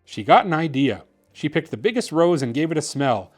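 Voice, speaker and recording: Higher-voiced man reading a children's storybook. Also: sing-song, a little fast, soft background music. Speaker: host reading children's books aloud. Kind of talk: children's bedtime storybook narration.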